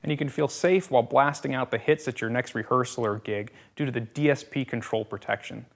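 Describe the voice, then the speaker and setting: Male voice-over, gravelly, mid pitch, slick sales read with punchy quips. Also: fairly quick. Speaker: product presenter. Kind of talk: scripted product narration.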